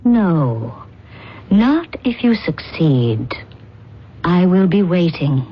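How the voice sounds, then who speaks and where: A woman's voice: fairly low pitch, sing-song, extremely slow, breathy edge, exaggerated voice. An actress playing a resolute alien woman in love, in a radio drama, speaking earnestly and formally. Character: resolute alien woman, the captain's secret beloved. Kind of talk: old-time radio drama acting. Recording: dull, muffled radio drama recording.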